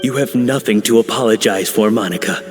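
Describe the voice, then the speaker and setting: A man's voice: fairly low pitch, brisk, close to an everyday voice. A man voicing a shaken, earnest middle-aged chef. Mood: anxious. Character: middle-aged anxious male chef, a father. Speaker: video game character. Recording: reverberant game audio with music.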